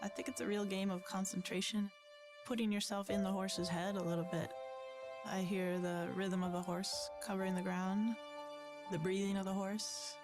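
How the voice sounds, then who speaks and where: A woman rider speaking calmly and reflectively in an interview soundbite. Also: mid-range voice, normal speed. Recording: interview cut with music underneath. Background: music.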